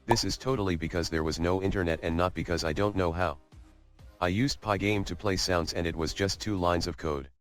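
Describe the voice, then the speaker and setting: A man's voice: low-pitched, steady tone, a little fast, faint music behind. A man narrating a scripted comedic coding video in a jokey, mock-boastful way.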